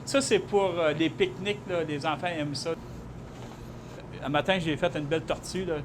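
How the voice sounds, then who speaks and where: A man's voice: a little high, steady tone, normal speed, background noise. A middle-aged man, a baker talking in a homey, chatty way in a documentary interview. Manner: homey, chatty, trails sentences with tag words. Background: guitar music.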